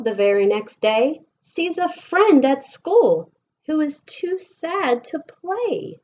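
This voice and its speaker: American female storybook reader, very unhurried, expressive phrasing, slightly muffled lo-fi recording. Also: fairly high pitch. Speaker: elementary school teacher reading aloud. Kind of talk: picture-book read-aloud for children.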